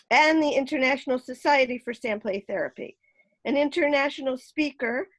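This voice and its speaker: Woman host, high voice, steady read-through of a guest introduction, video call. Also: rough recording. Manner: steady, list-like delivery. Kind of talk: reading a speaker introduction.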